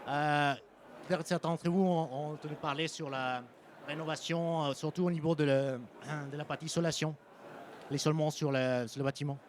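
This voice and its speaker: A man with a Mexican Spanish accent giving a conference presentation as a sales engineer, pausing often with ums and restarting phrases. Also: fairly high pitch, fairly even intonation, a little hiss or hum. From French-Mexican. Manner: hesitant, frequent ums and restarts. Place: conference hall.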